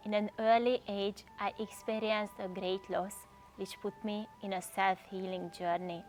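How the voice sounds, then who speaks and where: A woman's voice: mid-range voice, flat delivery, measured pace, a little mellow, soft background music, poor audio. A woman from Hungary, an energy healer giving a scripted introduction of herself.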